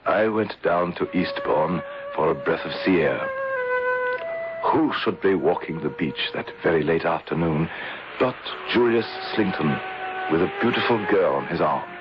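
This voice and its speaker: Deep-voiced, formal gentleman. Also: animated, loud music behind the voice. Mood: measured storytelling. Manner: measured, formal, proper. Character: concerned, courteous older English gentleman, narrating his tale. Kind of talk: radio mystery drama acting. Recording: dull lo-fi radio broadcast, faint noise.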